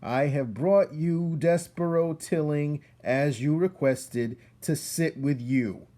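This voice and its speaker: A man voicing a self-important male mouse who shouts out proclamations in a storybook. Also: a little high, takes it slowly, voice pushed up.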